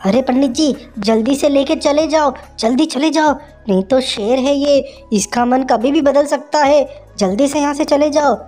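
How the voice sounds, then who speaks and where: Anxious cartoon squirrel, urgent and high-pitched, woman voicing. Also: dark tone, soft background music. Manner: urgent, hurried warning. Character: kind, anxious female squirrel.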